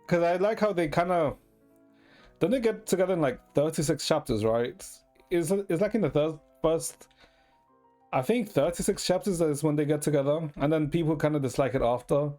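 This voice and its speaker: A man's voice: a little high, mellow. A man from Ecuador chatting casually to his live stream viewers in a rambling, informal way, swearing freely. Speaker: livestreamer. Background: music.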